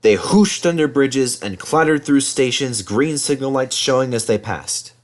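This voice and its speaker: Medium-pitched man reading a children's storybook. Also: unhurried. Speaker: storybook reader.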